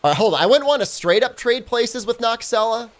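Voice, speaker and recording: A man's voice: very high voice, expressive, fairly quick. A young man with a phlegmy cold voice, chatting casually with his stream while he plays, full of "I mean" and "like". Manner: rambling, lots of "I mean" and "like". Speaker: speedrun streamer.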